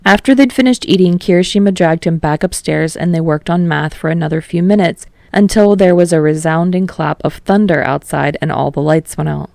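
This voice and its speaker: A woman narrates fiction in a low-pitched voice with a creaky, gravelly edge. The recording is close and dry, like an audiobook read. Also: a little fast, hardly any pauses, darkish tone, no echo. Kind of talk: scripted story narration.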